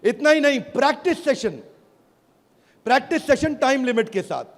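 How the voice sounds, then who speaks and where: A man giving an energetic stage keynote to a live audience, emphatic and crowd-rousing, calling out for responses. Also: extremely high pitch, moderate pace. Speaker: motivational business speaker launching an app. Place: large auditorium with a live audience. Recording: reverberant hall, crowd and noise.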